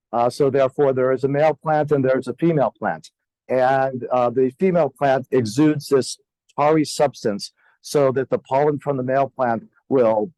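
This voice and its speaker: A man's voice: mid-range voice, dark tone, dry recording, low-quality recording. Older man lecturing with a sore throat. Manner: halting, many fillers and throat clearing. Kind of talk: conference lecture. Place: lecture hall.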